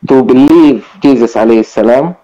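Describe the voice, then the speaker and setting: A man who is not a native Indonesian speaker, speaking haltingly in a religious debate and mixing broken Indonesian with English. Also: medium pitch, dark tone, lo-fi sound. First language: not Indonesian, still learning it. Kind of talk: informal religious debate on video call. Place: online video call.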